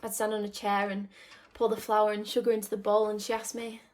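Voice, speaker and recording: A woman playing a nostalgic young woman in a reflective radio drama monologue, confiding and wistful, with sighing pauses. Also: mid-range voice, flat delivery, a little mellow, lightly acted. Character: nostalgic young woman torn between home and independence.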